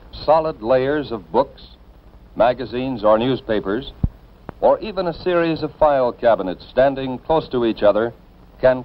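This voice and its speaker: An American man reading scripted 1950s instructional film narration in a measured, formal, instructive manner. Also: medium pitch. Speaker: narrator of a civil defense educational film. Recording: dull, band-limited 1950s film soundtrack.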